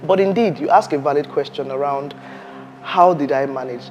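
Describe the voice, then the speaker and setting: Woman telling a lively work story. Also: low-pitched, moderate pace, lo-fi sound, faint music behind. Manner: animated, acts out quoted voices, laughs while telling. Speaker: guest. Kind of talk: interview storytelling.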